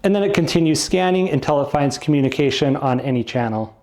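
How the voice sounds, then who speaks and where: Male product reviewer, slightly high voice, very mellow and relaxed tone, casual, plain step-by-step explanations. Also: fast.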